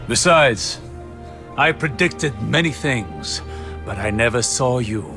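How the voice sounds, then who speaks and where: An actor playing a wise, weary old warlock mentor in a video game, speaking in a measured, portentous way. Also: male voice, medium pitch, animated. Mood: reflective, warm. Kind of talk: video game cutscene acting. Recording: loud music behind the voice.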